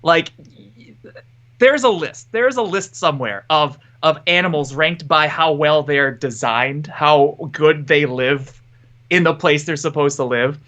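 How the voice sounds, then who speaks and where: Man, podcast co-host, bright tone, jokey, rambling, headphones on. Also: fairly high pitch, unhurried. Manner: joking, rambling, self-interrupting. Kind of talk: casual chat. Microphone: headphones with mic.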